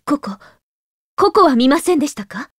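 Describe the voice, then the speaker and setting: A serious young noblewoman fighter for an anime game. Her voice is high and studio-dry. She speaks politely, with composed command and firm resolve. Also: sing-song, moderate pace, no room sound. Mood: worried.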